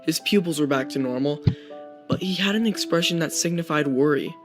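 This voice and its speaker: A man's voice: on the high side, brisk. A young adult man reading a scripted first-person horror story as its narrator. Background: guitar music.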